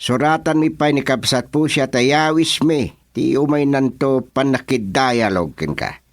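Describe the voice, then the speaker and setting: A performer playing a village chairman who placates quarrelling neighbours with hemming, drawn-out replies in a comedic radio drama. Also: mid-range voice, no room sound, clean studio sound, close to an everyday voice. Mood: placating.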